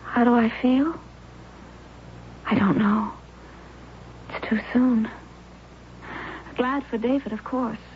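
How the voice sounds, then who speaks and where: An actress playing a remorseful woman with a guilty secret, in confessional, halting phrases in an old-time radio drama. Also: fairly high pitch, fairly even intonation. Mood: anguished, confessional. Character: remorseful woman in self-imposed exile, secretly alive. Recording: muffled old radio recording, faint hiss.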